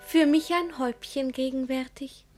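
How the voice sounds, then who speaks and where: Woman playing a high-voiced, innocent young girl. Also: fairly even intonation, moderate pace, faint music behind, natural voice. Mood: innocent, pleased. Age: young adult. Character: naive, demure, innocent young ward. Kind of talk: German radio play of verse comedy.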